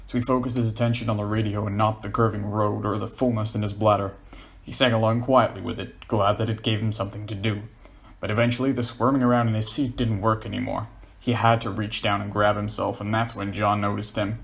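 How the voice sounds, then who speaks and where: A man's voice: lowish voice, flat delivery, fairly quick, vocal fry. A man reading scripted fiction aloud as the narrator.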